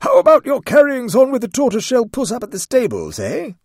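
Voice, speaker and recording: A man voicing a blustering military man who blurts out loudly. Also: extremely high pitch, huge pitch swings, fairly quick, dry recording, clean studio sound, voice pushed up, exaggerated voice. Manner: loud, blundering.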